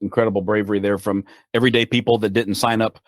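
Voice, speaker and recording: Fast-talking podcast host guy, lowish voice, dry sound. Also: level tone, no room sound. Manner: quick reactive interjections and questions. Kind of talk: podcast interview.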